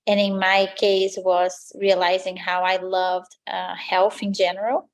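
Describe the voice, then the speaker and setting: A woman's voice: mid-range voice, flat delivery, unhurried, low-quality recording. A woman from Brazil with a Brazilian accent, a native Portuguese speaker, answering interview questions as a lifestyle coach in an earnest, motivational way. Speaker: lifestyle coach, podcast guest.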